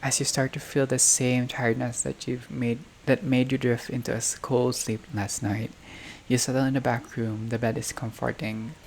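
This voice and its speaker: A gamer with a creaky, medium-pitched voice reads a visual novel aloud. The delivery is halting, moving between narration and offhand comments to self. There is noise behind the voice in what sounds like a homemade recording. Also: level tone, a little mellow. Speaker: gaming video creator playing a visual novel. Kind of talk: reading game text aloud with casual reactions. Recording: clearly noisy home recording, unstable audio.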